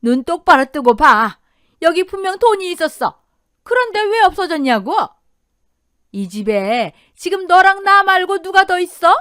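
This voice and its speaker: A woman's voice: very high-pitched, very animated, a little slow, sharp tone, dry recording, clean studio sound, pitched above the performer's own voice, exaggerated voice. A woman voicing a cruel older mother-in-law in an audio drama, sneering and snarling accusations in a furious temper. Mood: furious, screaming.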